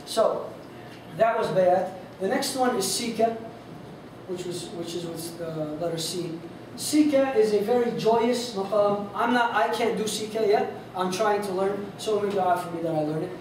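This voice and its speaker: A man's voice: high-pitched, a little slow. A man giving an informal lesson on recitation styles, casual and rambling with many fillers, and losing his voice. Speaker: instructor on Quran recitation. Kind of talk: informal lesson with sung demonstrations. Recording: echoey hall from far off, faint noise.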